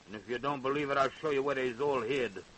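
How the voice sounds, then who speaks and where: Man acting a crazed farmhand, bright old-radio sound. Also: mid-range voice, quick pace, slight background noise, natural voice, rough recording. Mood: boastful, unrepentant. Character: crazed, sadistic young Southern farmhand confessing to killings. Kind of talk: 1930s radio drama acting. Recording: old radio drama recording.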